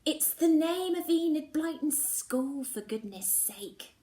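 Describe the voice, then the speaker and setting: A woman's voice: sits high, animated, slow, warm tone, reverberant, voice pushed up. A woman voicing a chatty, bossy teenage schoolgirl who talks with teasing emphasis.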